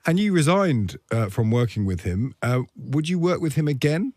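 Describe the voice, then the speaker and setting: A man's voice: mid-range voice, big pitch swings, normal speed, a little mellow, no room sound. A man conducting a political interview in a questioning manner, often ending his points with rhetorical tag questions.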